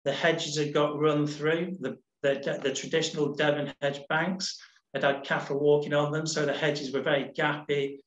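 Middle-aged man, relaxed talk over slides, echoey webcam audio. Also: fairly high pitch, moderate pace, lo-fi sound. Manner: relaxed, rambling, many restarts and ums. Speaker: nature reserves manager. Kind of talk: casual presentation over slides. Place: home room on a video call.

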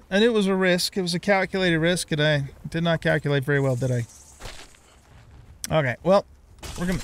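Higher-voiced middle-aged man doing gameplay commentary. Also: slight background noise. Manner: talks to himself and to the game, mild swearing. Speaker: let's play host. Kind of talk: gameplay commentary, casual and reactive.

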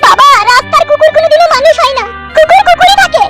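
Super high shrill cartoon girl, wronged daughter, dramatic and fast, loud music behind. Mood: scornful anger. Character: angry, wronged young pregnant daughter. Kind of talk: melodramatic comedy cartoon dubbing.

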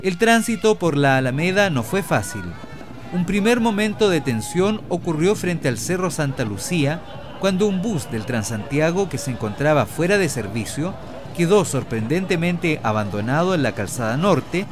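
A man narrating a scripted radio news report. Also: fairly high pitch.

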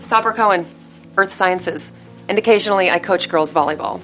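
An actress playing a friendly, chatty fellow teacher welcoming a newcomer, in a classroom. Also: female voice, on the low side, fairly quick, fairly bright tone, soft background music, close to an everyday voice, rough recording. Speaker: science teacher. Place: classroom indoors. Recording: film score underneath.

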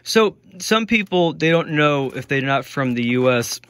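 A man's voice: a little high, expressive, a little slow, fairly bright tone, a little hiss or hum. A man in his forties chatting in a basement, explaining old toys with loose fillers and restarts. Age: 40s. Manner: knowledgeable, explaining toy history with many fillers. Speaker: toy collector host. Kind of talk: casual chat.

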